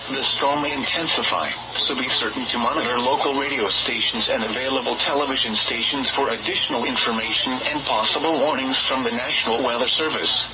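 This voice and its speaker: A man's synthetic voice reads a scripted weather bulletin in a formal, measured way over a weather radio broadcast. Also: mid-range voice, steady tone, very noisy. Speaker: automated weather radio announcer.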